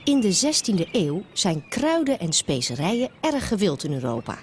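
A woman's voice: mid-range voice, sing-song, moderate pace, a little hiss or hum. A woman with a Netherlands Dutch accent reading scripted narration for an educational school film.

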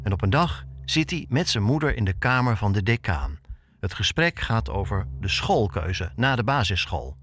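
A man's voice: on the low side, very animated, normal speed, a bit bright, faint music behind. A man in his fifties narrating a personal podcast from a script, as its host and reporter. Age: 50s.

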